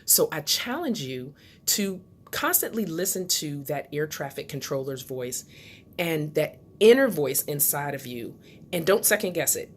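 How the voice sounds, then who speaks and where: A woman speaking as a motivational host to her viewers, in a deliberate, instructive way that stresses key words. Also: low voice, slight background noise. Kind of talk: motivational talk to camera.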